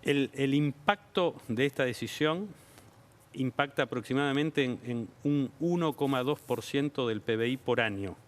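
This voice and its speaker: A man with an Argentine accent, a government official explaining policy on a TV talk show in a measured, explanatory way. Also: medium pitch. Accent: Argentine Spanish. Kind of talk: TV interview answers. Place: TV studio.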